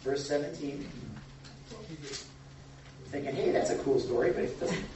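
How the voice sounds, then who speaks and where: A man's voice: fairly high pitch, unhurried, background noise. A man leading an informal Bible study, talking to the group and asking questions, with a frequent chuckle. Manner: conversational, asks the group questions, chuckles often. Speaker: pastor. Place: church room with a group. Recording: echoey room from far off, dull and lo-fi.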